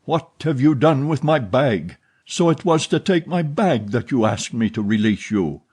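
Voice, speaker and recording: Furious jealous phantom of a man, menacing, loud and forceful, read by a man, mellow husky voice. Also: mid-range voice, very animated, slow, heavily acted.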